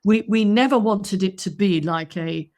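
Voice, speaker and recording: A woman co-hosting an interview as a vocal teacher trainer, affirming and warm, often answering with "mm". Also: medium pitch, normal speed. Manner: warm, affirming, many "mm" replies. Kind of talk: casual interview chat.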